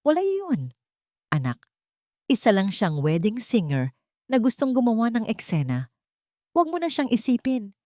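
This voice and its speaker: A controlling, guarded mother from a romantic audio drama, acted out by a woman narrator. She speaks softly and carefully, pitched above the narration, in a dry, close sound. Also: huge pitch swings, normal speed, long pauses, no echo. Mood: soothing, dismissive. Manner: soft, soothing, guarded. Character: controlling mother, outwardly tender, coldly menacing underneath.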